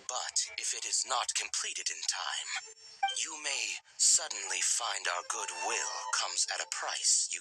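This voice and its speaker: A cold, menacing agent speaks slowly and deliberately. His threats land quietly under loud game music. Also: on the low side, low-quality recording. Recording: game audio with music behind.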